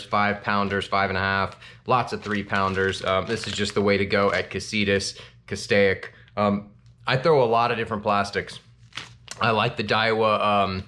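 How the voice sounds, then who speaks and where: A man in his thirties hosting a fishing channel, chatting casually to camera from a small home office, with lots of ums and restarts. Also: on the low side. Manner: relaxed, rambling, with frequent ums and restarts. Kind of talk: casual gear talk to camera.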